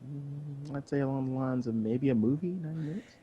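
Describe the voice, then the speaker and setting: A man's voice: medium pitch, fairly quick, warm tone, breathy edge, no echo, muffled recording. A man chatting casually as the co-host of a gaming podcast.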